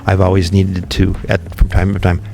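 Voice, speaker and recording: Male founder as podcast guest. Deep, gravelly voice, unhurried and reflective, music bed underneath. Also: completely flat delivery, a little mellow. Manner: thoughtful, many ums and restarts. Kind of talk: relaxed interview conversation.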